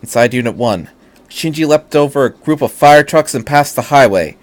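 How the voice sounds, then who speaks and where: A man's voice: mid-range voice, expressive, normal speed. A man reading fan fiction aloud as a narrator, with an earnest, dramatic delivery.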